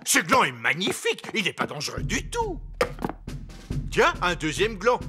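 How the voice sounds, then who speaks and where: A man voicing a jolly, pompous fairy king in a cartoon, with drawn-out exclamations. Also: high-pitched, wildly swooping pitch, over music. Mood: delighted. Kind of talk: animated cartoon dialogue in French.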